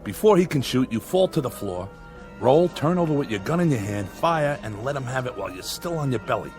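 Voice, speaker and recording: An impatient American director acted by a man bosses the set in a mid-pitched voice. He is emphatic and exasperated, his pitch leaping around. It plays as radio drama with light music. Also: lively intonation, a little fast, natural voice. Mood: impatient, instructing. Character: exasperated, bossy TV Western director.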